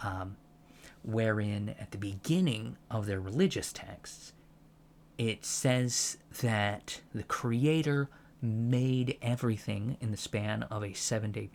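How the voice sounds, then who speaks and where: Soft-spoken, slow alien professor played by a man. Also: on the low side. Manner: halting, deliberate, academic, self-correcting. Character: earnest, fascinated alien college professor of human studies. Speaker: ASMR roleplay performer. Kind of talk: soft-spoken ASMR lecture roleplay. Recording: clear steady background noise.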